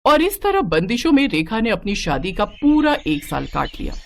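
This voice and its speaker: A woman narrating a scripted moral story in an expressive storytelling style. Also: mid-range voice, normal speed, vocal fry.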